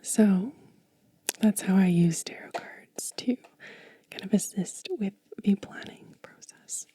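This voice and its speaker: A woman hosting an ASMR video in a soft, breathy whisper, talking gently and unhurriedly, close to the microphone. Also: medium pitch, slow pace. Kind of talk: soft-spoken whispered ASMR, show and tell.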